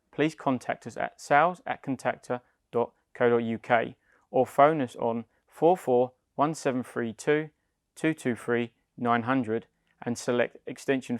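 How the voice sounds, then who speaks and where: A man's voice: medium pitch, normal speed, no echo, studio quality. A man giving a scripted instructional product narration, explaining plainly step by step. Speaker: company head of client development, instructor.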